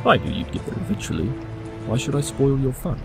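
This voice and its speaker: An actor playing a brilliant, smug pony detective, speaking in a measured, condescending manner in an audio drama. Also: male voice, medium pitch, sing-song, vocal fry, over music. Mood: smugly pleased.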